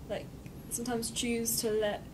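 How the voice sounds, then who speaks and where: A young woman student talks through her ideas in a group chat, hesitant and wandering. She sits away from the microphone, and a steady noise runs under her voice. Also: a little high, measured pace. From Pakistan. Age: young adult. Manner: thoughtful, rambling, hesitant. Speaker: sixth form student. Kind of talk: candid group discussion. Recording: distant mic with noise.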